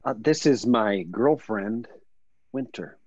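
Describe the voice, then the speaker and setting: A middle-aged actor playing a tense, defensive grown son eager to impress, on dull video-call audio. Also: male voice, on the low side, lively intonation, takes it slowly, darkish tone, dry recording, heavily acted. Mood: tense, boastful. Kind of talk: screenplay table read over video call. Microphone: computer mic on video call.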